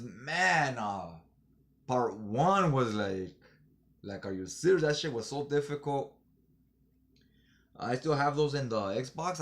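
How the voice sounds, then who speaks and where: A man chatting casually in slangy, informal fan talk as he reviews things for a vlog. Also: medium pitch. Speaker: comic book haul reviewer.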